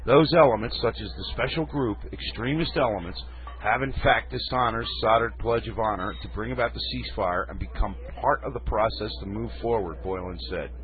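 A man's voice: lowish voice, fairly quick. A man hosting a radio talk show, reading news stories and adding folksy, rambling comments while losing his voice. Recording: phone-like lo-fi radio.

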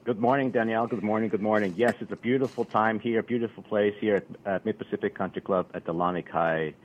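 Middle-aged male radio guest, low voice, easygoing and rambling, dull broadcast sound. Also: fast talker. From Austria. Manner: relaxed, rambling, many fillers. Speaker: country club general manager, guest. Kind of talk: casual radio interview.

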